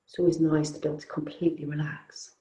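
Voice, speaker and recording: A woman teaching yoga nidra, guiding a relaxation in a soothing, unhurried, gently inviting way with long pauses. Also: deep voice, little pitch movement, echoey room. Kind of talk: guided relaxation meditation.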